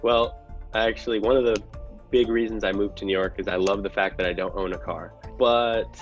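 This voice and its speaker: A deep-voiced man talks through his answers like an analyst being interviewed. The sound is a little dull, with faint music behind him. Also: steady tone, normal speed. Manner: analytical, explanatory. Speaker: podcast guest, real estate investor.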